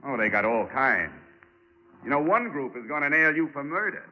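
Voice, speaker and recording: A man's voice: mid-range voice, very animated, normal speed, lightly acted. An actor playing a tough, wisecracking insurance investigator who needles his suspects and narrates his own case, in a 1940s radio drama on a dull old broadcast recording. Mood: taunting. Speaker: narrator and lead.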